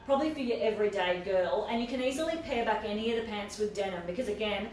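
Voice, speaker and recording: A woman with a quiet voice co-hosting a fashion live stream, chatting casually with many fillers. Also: medium pitch, quick pace, very echoey, recorded from a distance, a little hiss or hum, poor audio. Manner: chatty, hesitant, lots of fillers. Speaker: fashion boutique co-host. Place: echoey room. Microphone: no mic, far from laptop camera.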